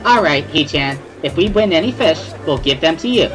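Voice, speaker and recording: Woman doing a bubbly teasing schoolgirl for a visual novel reading, super animated, bit of music behind. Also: low voice, normal speed. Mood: cheerful, teasing. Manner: playful, exclamatory, repeats words, laughs loudly. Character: bubbly, excitable, teasing teenage schoolgirl with booming laugh.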